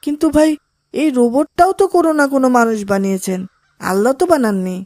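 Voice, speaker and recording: A woman's voice: high-pitched, fairly quick, darkish tone, dry recording, natural voice. A woman voicing an eager, curious boy in a children's cartoon, speaking in an earnest, questioning way. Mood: admiring, curious.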